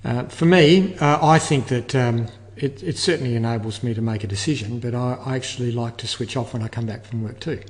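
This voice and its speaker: A man's voice: mid-range voice, brisk, few pauses. Older man in a very echoey room. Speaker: interview guest, air traffic control manager. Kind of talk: conversational interview answers. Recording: long reverberant room echo.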